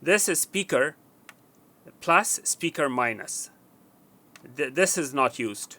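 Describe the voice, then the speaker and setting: Bright-voiced man explaining wiring at a bench. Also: a little high, expressive. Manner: halting, with frequent fillers and restarts while working; counts pins aloud while pointing. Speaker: technician demonstrating wiring. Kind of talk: hands-on instructional walkthrough.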